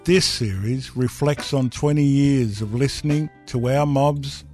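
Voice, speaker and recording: A man's voice: mid-range voice, a little slow, darkish tone, faint music behind. A man with an Australian accent presenting a series in a scripted radio promo.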